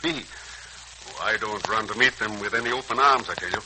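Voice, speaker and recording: An older American actor playing a kindly, modest, philosophical uncle in a 1940s radio serial, folksy and earnest. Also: male voice, on the low side, a little fast, lightly acted. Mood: modest, reflective. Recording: noisy, scratchy 1940s radio recording.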